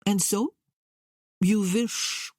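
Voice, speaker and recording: A woman's voice: medium pitch, fairly even intonation, drawn-out words, darkish tone, dry recording, studio quality, pitched above the performer's own voice. A woman voicing a haughty, scornful middle-aged queen in an audiobook novel. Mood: mocking. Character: imperious, mocking middle-aged exiled British queen.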